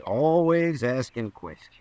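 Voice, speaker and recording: A man's voice: mid-range voice, lively intonation, a little slow, mellow, dry recording, natural voice. A man playing a cold-blooded, scheming cowboy killer with a curt, folksy western drawl in an old-time radio western. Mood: cold, menacing.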